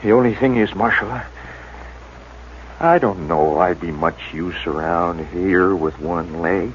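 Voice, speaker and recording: An actor playing a feverish, wounded middle-aged homesteader in a 1950s radio drama, weary, halting and resigned. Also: male voice, low-pitched. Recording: dull 1950s radio broadcast, faint hiss.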